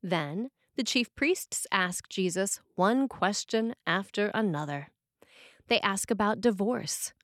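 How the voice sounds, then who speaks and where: A woman's voice: medium pitch, expressive, slow pace, no echo. Woman reading a sermon, dry close sound.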